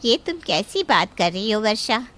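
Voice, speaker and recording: Woman as a bright-voiced, coaxing anxious mother. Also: medium pitch, sing-song, normal speed. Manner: coaxing, cautioning. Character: anxious, appeasing middle-aged mother of a bride. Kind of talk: dramatised Hindi story acting.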